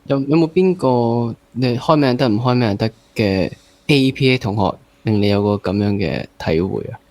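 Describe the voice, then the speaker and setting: A man's voice: medium pitch, normal speed, darkish tone, no echo. A man with a Hong Kong accent hosting a casual interview, probing and rephrasing at length with piled-up examples. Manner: rephrases at length, piles up examples, mixes in English. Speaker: interview host.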